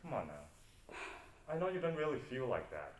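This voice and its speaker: A man's voice: mid-range voice, sing-song, moderate pace, echoey room, close to an everyday voice. A man in an amateur stage play acting a roguish, flirtatious adventurer who talks in a teasing way. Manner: smooth-talking, teasing.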